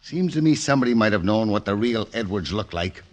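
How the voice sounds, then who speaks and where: An actor playing a blunt, suspicious sheriff, brusque and skeptical, in an old radio drama style. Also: male voice, on the low side, animated, a little fast, close to an everyday voice. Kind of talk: 1940s radio drama acting.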